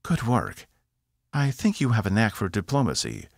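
A man voicing a confident woman starship captain, brisk and encouraging. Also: lowish voice, huge pitch swings, no echo, studio quality, pitched above the performer's own voice, exaggerated voice.

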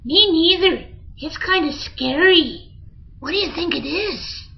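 A woman voicing an excitable, childish young male monkey in an old-time radio parody, talking in an impulsive, whiny way. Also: very high voice, slow pace, gravelly, heavily acted. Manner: impulsive, whiny, easily distracted. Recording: muffled lo-fi audio with music.

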